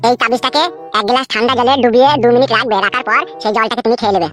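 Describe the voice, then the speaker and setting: A woman's voice: on the high side, fairly even intonation, very fast, fairly bright tone, faint music behind, natural voice. A woman voicing a scheming young village man in a cartoon, speaking in a wheedling, rustic way. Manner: wheedling, rustic dialect speech. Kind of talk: cartoon voice acting.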